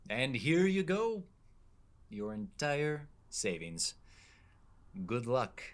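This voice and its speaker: A man's voice: mid-range voice, big pitch swings, very slow. A man playing nervous bank clerk ex-boyfriend. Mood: awkward.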